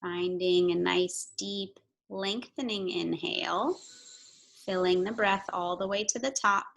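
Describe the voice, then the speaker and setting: A woman yoga instructor giving soothing, measured breathing and movement cues with audible breaths. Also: fairly low pitch, gravelly, fairly bright tone, poor audio.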